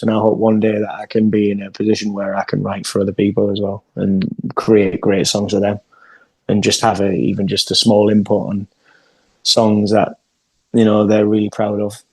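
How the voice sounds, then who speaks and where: A man's voice: lowish voice, completely flat delivery, normal speed, a little mellow, slightly rough, dry recording, rough recording. A young English man, a singer-songwriter, chatting in an interview over a video call and often restarting his sentences. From England. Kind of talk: casual interview chat.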